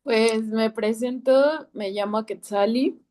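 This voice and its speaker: A woman from Oaxaca introducing herself on a video call, gentle and a bit hesitant. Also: fairly high pitch, slow. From Oaxaca, Mexico. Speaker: workshop participant. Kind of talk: casual self-introduction.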